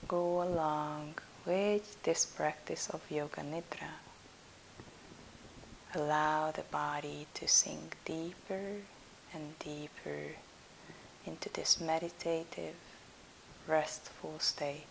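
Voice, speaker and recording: A woman leading a guided relaxation meditation as a yoga instructor, soothing and soft, breathy at phrase ends, with long pauses between phrases. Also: sits low, rough recording. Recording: steady background hiss.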